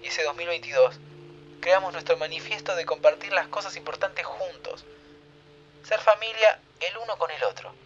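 An actor with a Rioplatense accent playing a warm-hearted young time traveler who is easily flustered and turns tender. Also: male voice, high-pitched, moderate pace, dull audio, slight background noise. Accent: Rioplatense Spanish. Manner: flustered pleading, then tender and reflective. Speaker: lead character.

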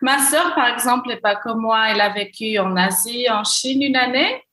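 Moroccan woman, young, clear bright voice, echoey room, stops and restarts a lot when answering. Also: fairly high pitch, moderate pace. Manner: searching for words, frequent fillers and restarts. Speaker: podcast guest, gender equality consultant. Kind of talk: casual interview answers.

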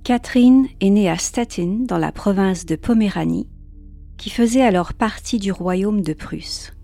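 A woman reading a calm, scripted narration for a sleep podcast. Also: mid-range voice, normal speed, creaky, faint music behind.

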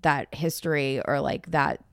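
A woman's voice: sits low, steady tone, measured pace, no room sound, studio quality. A woman with an American accent chatting informally as a podcast co-host, with a loose, colloquial way of talking. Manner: informal, peppers speech with "like". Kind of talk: casual chat.

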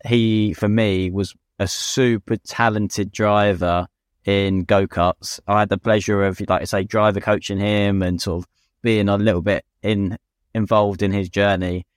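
A man's voice: fairly low pitch, little pitch movement, unhurried, clean tone, dry recording, studio quality. A British man co-hosting a casual motorsport chat as a racing driver, speaking in an informal, colloquial, opinionated way. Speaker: podcast co-host and racing driver.

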